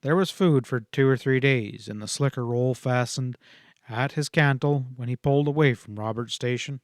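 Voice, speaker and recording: A man's voice: mid-range voice, moderate pace, dry recording, studio quality. A man reading a western novel aloud as scripted audiobook narration.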